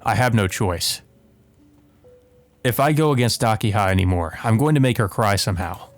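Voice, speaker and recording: A man's voice: fairly low pitch, expressive, moderate pace. A man hosting a let's play, reading visual novel narration aloud and adding casual commentary. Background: music behind the voice.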